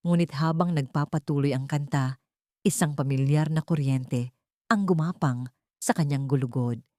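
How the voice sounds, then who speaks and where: Female storyteller for dramatic audio fiction, lowish pitch, soft dark tone. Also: moderate pace, no room sound, clean studio sound. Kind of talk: scripted dramatic story narration.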